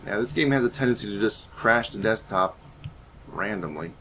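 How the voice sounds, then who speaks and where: A man's voice: fairly low pitch, animated, normal speed, pushed and bright. A man giving casual gameplay commentary, rambling and thinking aloud as he goes. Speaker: gaming channel host. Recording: faint background noise.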